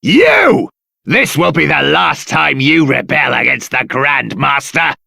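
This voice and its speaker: A man playing a harsh, bad-tempered guard in a video game, commanding, with a raspy, gravelly voice. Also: a little high, sing-song, slow, no room sound, studio quality, exaggerated voice. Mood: threatening. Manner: forceful, commanding.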